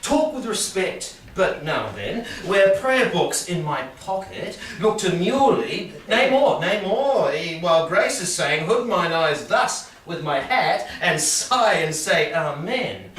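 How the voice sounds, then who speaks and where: Guy doing rowdy mock-pious young gentleman, shakespeare reading, voice jumps all over, distant and echoey. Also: sits high, sing-song, slow, few pauses, heavily acted. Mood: mock-solemn, teasing. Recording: echoey room from far off, background noise.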